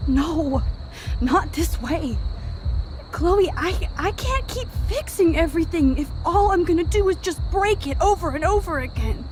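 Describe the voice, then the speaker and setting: A woman's voice: very high voice, expressive, darkish tone, a little hiss or hum. A young American woman playing an anxious, earnest teenage girl in a dramatic video game, speaking in a hesitant, pleading way. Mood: anxious, despairing. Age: young adult. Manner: hesitant, halting, emotionally pleading.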